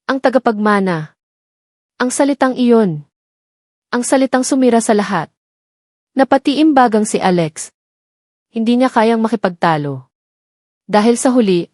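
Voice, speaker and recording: A woman's voice: medium pitch, darkish tone, no echo. Filipino woman narrating, close dry sound. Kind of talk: dramatic scripted story narration.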